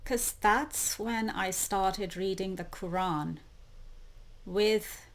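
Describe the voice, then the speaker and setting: A woman hosting her own channel, speaking in a slow, deliberate solo monologue full of repeated questions. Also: mid-range voice. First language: not Arabic. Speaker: YouTube channel host. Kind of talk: solo spiritual monologue to camera.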